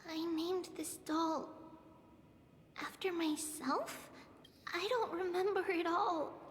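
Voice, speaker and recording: A woman's voice: extremely high pitch, slow. A woman voicing a curious, innocent and eager little girl in a video game. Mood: wistful, puzzled. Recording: echoey reverb under game music.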